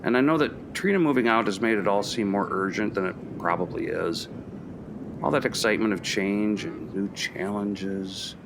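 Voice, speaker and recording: An actor playing a gentle, thoughtful professor in a naturalistic radio play, calm and questioning. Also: male voice, lowish voice, normal speed, vocal fry, background noise.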